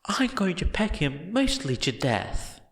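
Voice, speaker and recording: A man's voice: sits high, sing-song, a bit bright, slightly rough, dry recording, voice pushed up, heavily acted. A man voicing a giant, simple-minded monster servant, eager, loyal and devoted, in an audiobook story. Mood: indignant, protective. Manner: slow, exclaiming, devoted.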